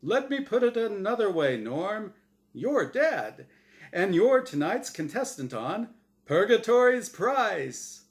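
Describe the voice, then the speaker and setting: Man putting on a brash, high-pitched angel game-show host. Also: sing-song, takes it slowly, full character voice. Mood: glib, upbeat. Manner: glib, booming radio-announcer patter.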